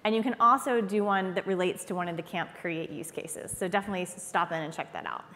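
A woman's voice: lowish voice, fairly even intonation, quick pace, bright, forceful tone. A woman giving an informal, upbeat talk from a conference stage. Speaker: conference presenter. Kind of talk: keynote speech. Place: large conference hall stage. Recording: long hall reverb.

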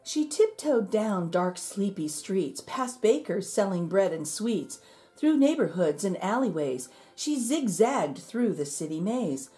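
Woman reading a storybook, mellow and lively. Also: medium pitch, measured pace. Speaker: storybook reader. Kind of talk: expressive read-aloud of a rhyming children's picture book.

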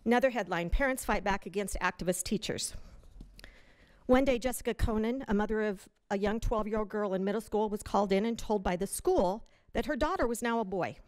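A woman's voice: medium pitch, a little fast, darkish tone. A woman giving public comment in a large hotel meeting room, indignantly reading news stories aloud from a prepared text at a podium microphone.

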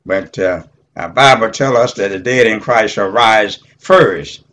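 Slow-talking elderly preacher man. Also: lowish voice, a bit bright. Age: 70s or older. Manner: halting, deliberate, punctuated with amens. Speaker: Bible study teacher, preacher. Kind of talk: informal Bible teaching with scripture reading.

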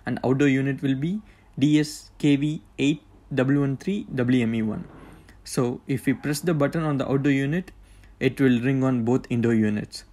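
A man's voice: medium pitch, mellow. Plain-spoken man walking through a setup. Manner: plain, halting, with fillers. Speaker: tech tutorial presenter. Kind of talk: casual step-by-step demonstration.

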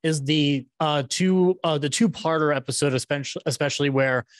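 A man's voice: a little high, a little fast, no room sound, clean studio sound. Chatty male podcast host, stammering a little. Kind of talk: casual interview hosting. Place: small room, indoors, on a video call. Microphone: close podcast microphone on a boom arm.

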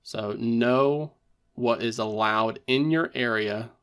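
A man's voice: medium pitch, takes it slowly, fairly bright tone, clear, resonant tone. A man presenting a tech tutorial in a methodical, step-by-step instructional narration.

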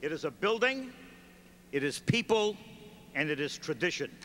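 An older man giving a formal commencement address at a podium, reading from notes in a measured, steady cadence. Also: on the high side, a little hiss or hum. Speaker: commencement speaker, former school staff. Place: large gymnasium stage at a podium. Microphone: podium microphone. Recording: reverberant hall with faint ambience.

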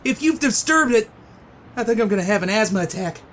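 A man as a fussy, high-pitched bookish worrier. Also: animated, a little fast, fairly bright tone. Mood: panicked. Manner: prissy, melodramatic, wordy. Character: fussy, high-strung bookish young man, easily panicked. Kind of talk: comic book dub.

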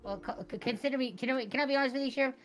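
A woman's voice: high voice, very fast, over music. A woman doing casual improvised game roleplay in an earnest, rambling way with many restarts. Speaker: online roleplay player.

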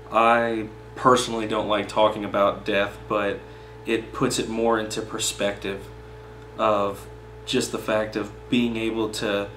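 A man in his twenties speaking in a flat, unhurried manner, seated in a small meeting room and picked up by a camera a few feet away. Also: on the low side. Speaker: poetry reader. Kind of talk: poem reading, then informal personal commentary. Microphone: camera mic a few feet away. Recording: roomy echo with faint background hum.

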